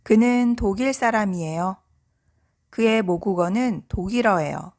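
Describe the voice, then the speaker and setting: A woman language teacher reading out short scripted example sentences for practice, with careful, measured enunciation. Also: medium pitch, darkish tone. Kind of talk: scripted language drill, sentences read out and repeated.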